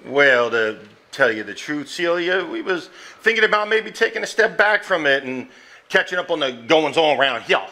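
A man's voice: a little high, sing-song, moderate pace, bright, forceful tone. A man playing a worried, easily exasperated family man in a stage drama, emphatic, with a Southern US accent. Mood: gentle. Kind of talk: live stage acting. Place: theater stage before audience.

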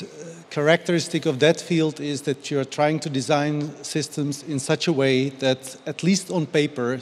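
Panelist, man, 40s, mid-pitched, articulate and even, handheld mic in a reverberant hall. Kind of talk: panel discussion. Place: large glass-walled hall. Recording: long reverb of a large hall.

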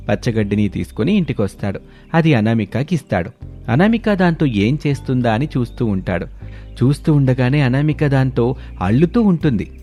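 A man narrating a cartoon moral story in a steady, plain storytelling manner. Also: mid-range voice.

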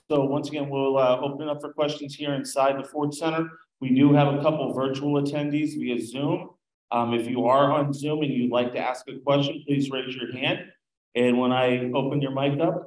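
An older man moderating a press conference from a podium microphone, brisk and procedural. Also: medium pitch, a little mellow. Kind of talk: formal announcing. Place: arena press room. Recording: echoey press room.

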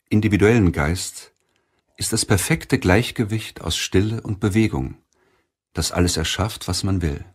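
Male narrator, low and husky, deliberate scripted self-help audiobook read over music.

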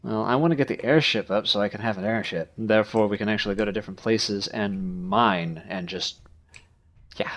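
A man's voice: on the low side, brisk, fairly bright tone. Casual young man chatting over a game. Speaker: gaming video host. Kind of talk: casual gameplay chat.

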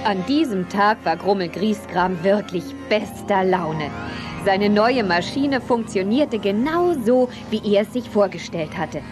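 A woman's voice: medium pitch, lively intonation, measured pace, lightly acted. A woman narrator reading a scripted children's story. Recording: prominent music behind the voice.

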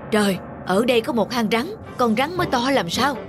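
A woman's voice: a little high, lively intonation, brisk, over music, close to an everyday voice. A woman voicing a poor, pious, superstitious male farmer in a children's cartoon, with a fretful, reverent manner. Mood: startled, pondering.

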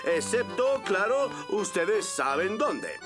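High, lively cartoon storyteller. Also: male voice, slow pace, heavily acted. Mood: playful storytelling. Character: playful storyteller of a children's cartoon. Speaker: narrator. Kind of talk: animated storybook narration for children. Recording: loud music behind the voice.

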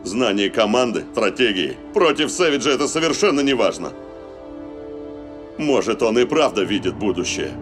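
Cartoon team captain, young, earnest, dramatic, very lively intonation, music behind. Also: male voice, lowish voice, normal speed. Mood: downcast. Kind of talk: dubbed cartoon acting.

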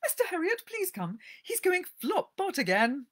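Woman doing a high shrill fussy rich lady, anxious, wailing, swooping voice. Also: very animated, measured pace, heavily acted. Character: fussy wealthy older lady doting on her dog.

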